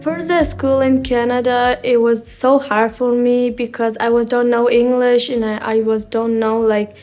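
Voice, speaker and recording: Teenage girl, higher voice, halting learner English, short pauses, faint music behind. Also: fairly even intonation, a little mellow. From Afghanistan. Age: teens. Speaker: student. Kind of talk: personal testimony.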